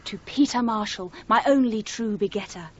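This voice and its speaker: An actress playing a young would-be poet in a radio drama, chirpy, pushy and guileless. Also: female voice, medium pitch, natural voice. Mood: breezy, guileless. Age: young adult. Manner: chirpy, brisk, matter-of-fact. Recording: muffled, noisy 1980s radio recording.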